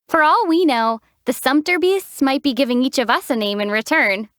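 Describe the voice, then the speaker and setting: A young woman voicing a calm, dry-witted heroine in a game, teasing her companion in a deadpan way. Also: sits high, moderate pace, no room sound. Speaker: player character, traveler heroine. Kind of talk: game voice acting.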